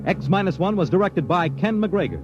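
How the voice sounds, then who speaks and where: Animated American male radio announcer. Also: sits high, normal speed. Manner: formal, polished. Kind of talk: 1950s radio announcing, scripted. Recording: dull old radio broadcast, music behind.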